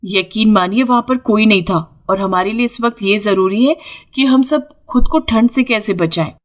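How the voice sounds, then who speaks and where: A woman voicing poor, resourceful young village daughters-in-law in an animated moral story, expressive and full of exclamations. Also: medium pitch, moderate pace, lightly acted. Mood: persuasive.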